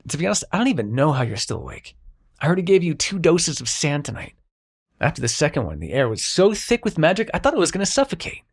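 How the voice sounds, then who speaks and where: Casting: male actor for grumbling, sardonic dream god, intimate audio drama; very animated intonation, heavy vocal fry, foul-mouthed. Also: on the high side, heavily acted. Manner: sarcastic, profane, sighing, addresses listener as mortal. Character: exasperated, sarcastic god of dreams, a grumbling Sandman. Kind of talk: intimate scripted roleplay audio drama monologue.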